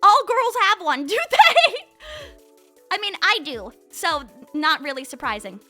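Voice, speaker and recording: Very high, bright young woman streamer. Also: very animated, a little slow, faint music behind. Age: 20s. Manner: playful, rambling, says "like" constantly. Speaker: livestream host chatting with viewers. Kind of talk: casual chat.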